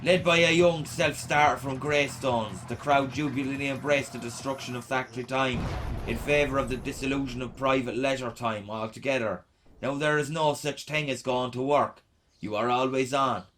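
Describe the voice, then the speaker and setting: A man's voice: medium pitch, steady tone, normal speed, fairly bright tone, a little hiss or hum, natural voice, rough recording. An Irish man playing a sardonic, slangy teenage punk boy reading out his zine in a radio play. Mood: wry, animated. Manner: irreverent, slangy, sweary.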